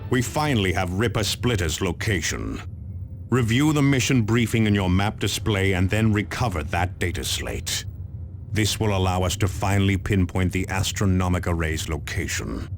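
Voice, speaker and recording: An actor playing a grave, resolute space marine captain, solemn and commanding in a video game briefing. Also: male voice, deep voice, animated, moderate pace, slight background noise.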